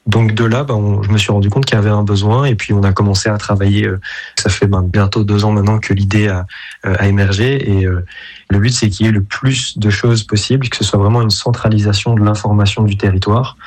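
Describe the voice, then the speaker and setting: A man's voice: fairly low pitch, steady tone, brisk, darkish tone. A man from Belgium, founder of an app, answering radio interview questions with many fillers and restarts.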